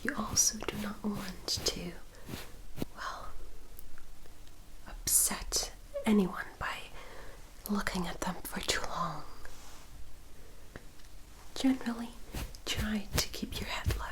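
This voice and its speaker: A woman acts as a composed female vampire attendant in an ASMR roleplay. She speaks in a breathy whisper at a very unhurried pace. Her manner is formal and caring, like an older sister gently cautioning a newcomer. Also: medium pitch, natural voice, rough recording. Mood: gently instructive. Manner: slow, measured, formal, gently instructive.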